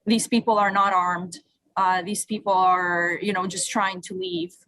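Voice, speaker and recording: A woman from Ukraine, a native Russian speaker, speaking as an interview guest in a halting way, searching for words. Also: mid-range voice, steady tone, a bit bright, dry recording. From Odessa, Ukraine; moved to Canada at eleven. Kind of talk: radio interview, personal account.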